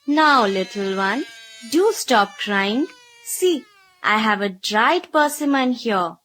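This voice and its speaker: A woman voicing an old grandmother in a storybook, soothing a child with slow, drawn-out coaxing. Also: a little high, big pitch swings, noisy background, voice pushed up. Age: older. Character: old Korean grandmother hushing a crying grandson. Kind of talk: storybook read-aloud character voice.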